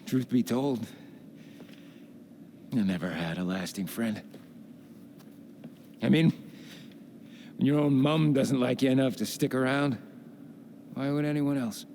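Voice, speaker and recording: An actor playing a hapless, lonely, self-pitying adventurer in a video game, with a folksy, rueful way of talking. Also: male voice, mid-range voice, unhurried, pauses often, darkish tone, slight background noise, lightly acted. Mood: dejected, self-pitying. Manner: folksy, colourful exclamations, rueful. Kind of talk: video game cutscene acting.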